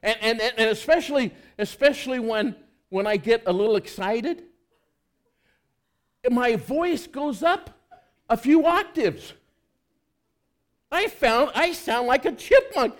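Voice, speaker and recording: A man's voice: very high-pitched, expressive, takes it slowly, pauses often. A male pastor giving a conversational sermon, reading scripture and asking the congregation rhetorical questions.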